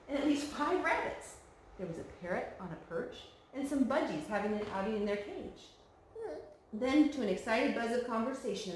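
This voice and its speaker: A woman reading a children's storybook aloud in an expressive storytelling style. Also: mid-range voice. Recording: very echoey room, faint noise.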